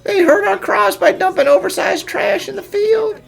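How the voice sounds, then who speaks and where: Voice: very high voice, normal speed, creaky, full character voice. A performer playing an agitated farmhand with urgent, rustic speech in a fan-dubbed cartoon. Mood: distressed. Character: agitated farmhand reporting harassment. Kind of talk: fan-dub cartoon acting.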